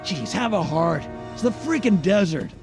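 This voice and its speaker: A griping soldier, animated intonation. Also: male voice, high-pitched, normal speed, music in the background. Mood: grumbling. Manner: complaining, mocking. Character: griping, gossipy young male army soldier. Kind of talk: video game cutscene acting.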